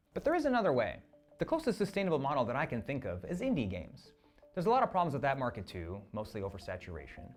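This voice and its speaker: A narrator reading a scripted video essay in a brisk, persuasive way, played as a clip. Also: mid-range voice. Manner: brisk, persuasive, lively delivery.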